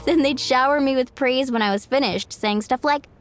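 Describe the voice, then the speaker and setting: A woman playing a cheerful, chatty young shopkeepers' daughter in a video game, bubbly and expressive. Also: sits high, moderate pace, natural voice. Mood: upbeat, playful. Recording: light music behind.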